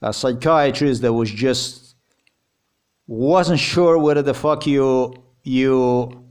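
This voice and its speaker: A man's voice: mid-range voice, slow pace, darkish tone, clean tone. A man with a Slovenian accent, a native Slovenian speaker, giving a heated personal account in English, repeating himself and swearing as he talks. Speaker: vlogger.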